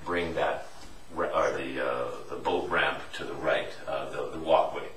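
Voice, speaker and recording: A man's voice: extremely low pitch, fairly even intonation, a little slow, a bit bright, a little hiss or hum. A man speaking as a town councillor, hesitant and rambling with many restarts. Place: council chamber, large indoor room. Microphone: table microphone, recorded from far. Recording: echoey room from a distant mic.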